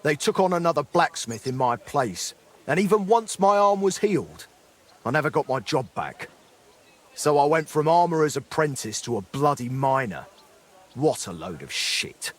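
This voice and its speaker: An actor playing a remorseful middle-aged former blacksmith in a dramatic game, speaking in an earthy, apologetic way. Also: male voice, fairly high pitch, very animated, moderate pace. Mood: rueful, earthy.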